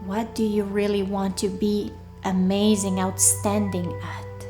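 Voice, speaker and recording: A woman leading a guided meditation in a soothing, deliberate way, leaving pauses between short phrases. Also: mid-range voice, level tone. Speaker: meditation guide, workshop leader. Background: soft background music.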